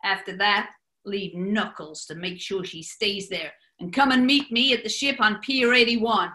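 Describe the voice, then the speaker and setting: A woman's voice: mid-range voice, measured pace, bright, forceful tone. A woman playing a brash, scheming male gangster boss with an American accent in a 1940s-style radio play, wisecracking and bossy. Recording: roomy echo.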